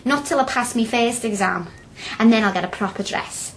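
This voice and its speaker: An actress plays a young working-class woman from a radio play, with a fairly high voice and a Liverpool accent. She is feisty and blunt, talks in a chatty, colloquial way and is hungry to learn. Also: moderate pace, slight background noise, lightly acted, low-quality recording. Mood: proud, eager. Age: young adult. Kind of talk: radio drama acting.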